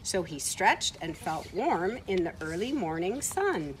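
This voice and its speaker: A woman's voice: fairly low pitch, measured pace. Woman reading aloud outdoors, faint noise. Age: middle-aged. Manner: expressive, acting out short quoted words. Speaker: children's story time reader. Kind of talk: picture book read-aloud for children. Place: outdoors on a farm, beside horses. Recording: outdoor ambient noise from camera mic.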